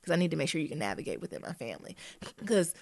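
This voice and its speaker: A woman's voice: low voice, very fast, slightly rough, no echo. A woman co-hosting a podcast, a little congested, talking frankly in casual chat and acting out quoted people as she tells stories. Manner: frank, animated storytelling with quoted voices. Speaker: podcast co-host.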